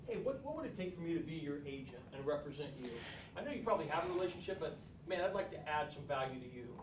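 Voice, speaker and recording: A man's voice: fairly high pitch, sing-song. Distant echoey man asking from the crowd. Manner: halting, restarts words while asking. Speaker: audience member asking a question. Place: audience seat at a live event. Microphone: far from the speaker's mic. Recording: distant, echoey, noisy room audio.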